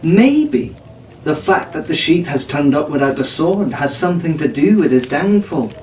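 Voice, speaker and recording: A man's voice: on the high side, big pitch swings, moderate pace, darkish tone, recorded from a distance. A man voicing a knowledgeable, strong-willed teenage tavern girl in a storybook, telling pirate lore in an earnest, firm way. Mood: earnest, ominous. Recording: echoey room from off-mic, faint noise.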